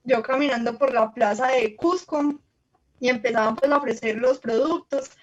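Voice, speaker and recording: A woman journalist with a marked Colombian accent, telling travel anecdotes in a relaxed conversational way with frequent fillers. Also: moderate pace, slight background noise. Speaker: journalist guest. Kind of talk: conversational storytelling. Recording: muffled low-fidelity audio.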